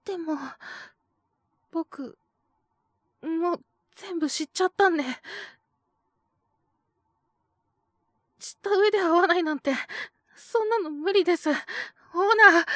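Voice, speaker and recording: A woman's voice: extremely high pitch, measured pace, long pauses, a bit bright, no echo, studio quality. A woman voicing an earnest, naive young man who speaks politely and hesitantly, pleading with resolve, in an audio drama. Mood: insistent. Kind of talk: Japanese situational audio drama acting.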